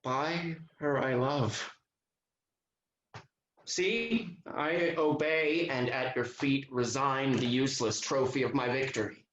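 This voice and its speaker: A performer playing a swaggering, ardent young gentleman soldier in a period comedy, with grandiose declamation. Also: mid-range voice, takes it slowly, roomy sound, lo-fi sound. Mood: proudly ardent. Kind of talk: theatrical Restoration play acting.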